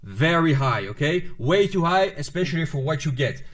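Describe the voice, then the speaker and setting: A man's voice: fairly high pitch, big pitch swings, moderate pace. Man explaining with "okay?" tags. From Netherlands. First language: Dutch. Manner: methodical, many "okay?" and "uh" fillers. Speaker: YouTuber explaining a topic. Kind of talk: explanatory vlog monologue.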